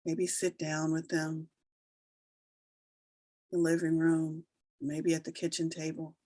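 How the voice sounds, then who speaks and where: A woman leading a guided meditation, giving soothing, unhurried instructions with long pauses between phrases. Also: deep voice, monotone, mellow, no room sound, studio quality. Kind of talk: slow guided meditation narration.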